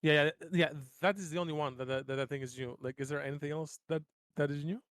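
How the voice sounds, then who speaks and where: Higher-voiced man, dry recording. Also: steady tone, fast, fairly bright tone, no room sound. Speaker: tabletop game player. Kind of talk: casual chat.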